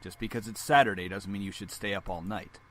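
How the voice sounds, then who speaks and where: Man playing a stern, low-voiced father. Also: steady tone, quick pace, a crowd in the background, close to an everyday voice. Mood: approving. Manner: curt, commanding. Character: stern middle-aged father, a company boss.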